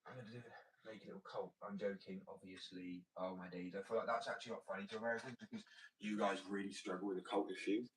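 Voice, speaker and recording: A man's voice: on the low side, fairly even intonation, quick pace, distant mic, slight background noise, poor audio. A British man chatting casually on a livestream, rambling and cutting himself off mid-sentence. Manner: rambling, self-interrupting, swears freely. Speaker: livestreamer reading chat. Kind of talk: casual stream chat while working.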